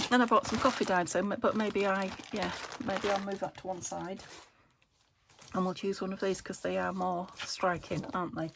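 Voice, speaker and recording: A middle-aged woman with a croaky voice from a cold, chatting casually while she crafts and asking little tag questions. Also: mid-range voice, fairly even intonation, normal speed, background noise. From England. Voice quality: gravelly. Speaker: craft vlogger. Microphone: phone camera.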